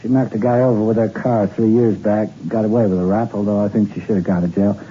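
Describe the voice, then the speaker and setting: An actor playing a gruff, blunt police lieutenant in an old radio drama. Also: male voice, on the low side, fairly even intonation, fast talker, dark tone. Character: tough, weary middle-aged police lieutenant. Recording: dull 1960s radio drama recording with faint hiss.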